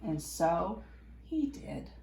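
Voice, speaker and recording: Animated woman storyteller in an echoey room. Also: on the low side, extremely slow, gravelly, slight background noise. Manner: animated, playful, dramatic emphasis. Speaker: children's storyteller. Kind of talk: expressive picture-book read-aloud for young children.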